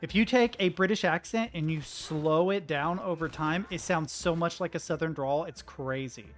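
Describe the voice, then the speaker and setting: A man's voice: on the high side, moderate pace, faint music behind. An American man streaming a game, talking in a casual, rambling way, laughing and trailing off mid-sentence. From United States. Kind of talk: casual gaming chat.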